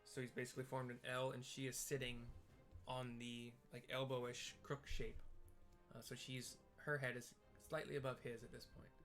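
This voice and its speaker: Man, mid-range flat voice, hesitant improvised scene description, plain wording, game music in the background. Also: medium pitch. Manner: hesitant, halting, searching for plain comparisons.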